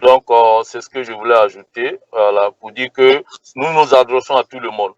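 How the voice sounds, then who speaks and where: A man from Côte d'Ivoire explains a protest march in an interview, often pausing on fillers and restarting his phrases. His voice sits at a middle pitch. The recording is dry and dull, with little room sound. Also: steady tone, no room sound. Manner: hesitant, many fillers and restarts. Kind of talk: interview answer.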